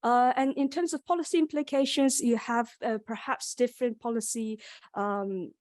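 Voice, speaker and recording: A woman's voice: on the high side, fairly even intonation, normal speed, darkish tone, no echo. A young woman with a Yorkshire accent giving an academic conference presentation, explaining step by step with frequent ums and restarts.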